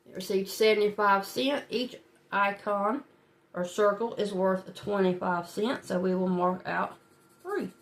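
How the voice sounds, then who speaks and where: A woman's voice: medium pitch, steady tone, measured pace. Chatty woman with a stuffy nose. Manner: chatty, talks to viewers while working, small laughs. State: stuffy nose from a head cold. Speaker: budgeting channel host. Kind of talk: casual talk while filling savings challenges.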